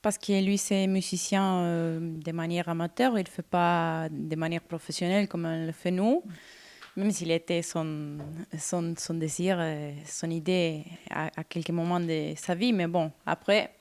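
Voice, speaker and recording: A woman from Argentina, a singer interviewed on the radio, who searches for her words with many pauses and fillers. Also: fairly low pitch, steady tone, a little mellow.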